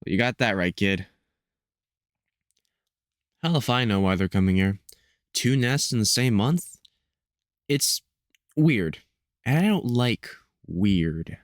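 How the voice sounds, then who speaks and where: A man playing a gruff, protective veteran vampire hunter in an audio roleplay, talking hesitantly and with light sarcasm to the listener. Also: lowish voice, animated, takes it slowly, long pauses, no room sound, studio quality. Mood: grim, resolute.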